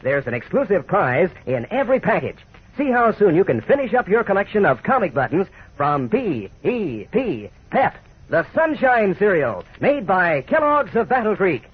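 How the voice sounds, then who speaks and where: A man's voice: high-pitched, big pitch swings, normal speed, slightly rough. A man with an American accent delivering a 1940s radio commercial in an enthusiastic, folksy manner as announcer. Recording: tinny, narrow 1940s radio recording.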